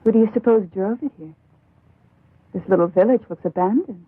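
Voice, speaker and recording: An actress playing a steady, companionable young traveller in a dubbed vintage horror film, lively and teasing, with a husky voice. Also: female voice, medium pitch, a little fast, dry recording, close to an everyday voice. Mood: wary but game. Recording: muffled tinny old film soundtrack.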